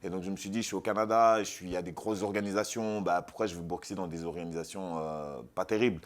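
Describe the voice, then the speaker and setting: A man from Congo, a fighter and actor, telling his story with confident, animated energy in an interview. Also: deep voice, fast talker. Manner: confident, animated, self-assured storytelling with frequent repeats. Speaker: MMA fighter and actor, guest.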